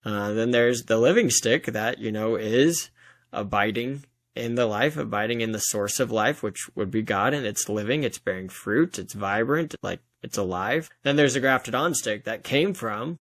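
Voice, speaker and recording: A man's voice: medium pitch, moderate pace, no echo. A man talking casually and reflectively as an interview guest, rambling a little as he thinks aloud.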